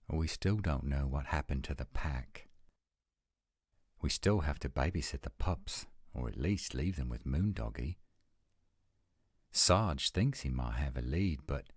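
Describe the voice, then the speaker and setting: A man playing an adult male werewolf lover in a romantic roleplay audio, speaking in an intimate, measured, coaxing and reassuring way. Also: rumbling low, dry recording, studio quality, full character voice. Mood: wry, concerned. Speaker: voice actor.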